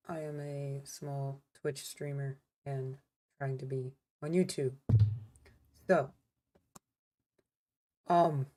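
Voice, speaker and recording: A woman's voice: sits low, warm tone. A woman streamer giving casual gameplay commentary, rambling and talking to herself with lots of fillers. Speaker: small streamer.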